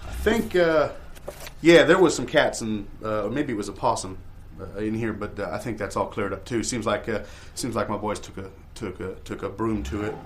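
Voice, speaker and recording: Man playing a halting, folksy landlord salesman. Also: fairly low pitch, a little hiss or hum, close to an everyday voice. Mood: salesmanlike, then evasive. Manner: halting, hedging salesman, trailing off mid-sentence. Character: rambling, folksy male landlord evasively pitching a shabby rental cottage. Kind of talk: improvised comedy audio theatre.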